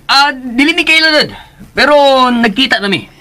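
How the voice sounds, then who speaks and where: A man's voice: extremely high pitch, animated, normal speed, bright, forceful tone, heavily acted. A man acting a haughty, bossy rich young son in a Filipino radio drama, with a Cebuano accent. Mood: agreeable.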